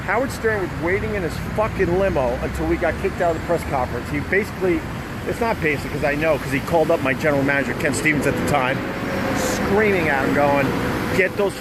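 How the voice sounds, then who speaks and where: A man's voice: a little high, heavy background noise. A man, a radio host, telling a story casually and bluntly with plenty of swearing, recorded outdoors on a city street. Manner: profane, blunt.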